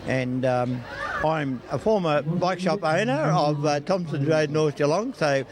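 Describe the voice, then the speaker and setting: A man's voice: fairly high pitch, lively intonation, a little slow, background noise. An older man with an Australian accent giving a casual interview in a blunt, colloquial, emphatic way. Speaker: cyclist being interviewed. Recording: loud music behind, outdoors.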